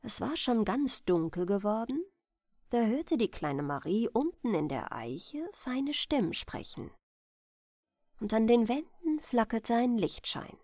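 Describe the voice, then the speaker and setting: A woman narrates a children's bedtime story. Her voice is fairly high and moves expressively, yet she takes her time. The sound is close and dry. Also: sing-song, unhurried, warm tone, no echo. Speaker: storyteller reading a fairy tale.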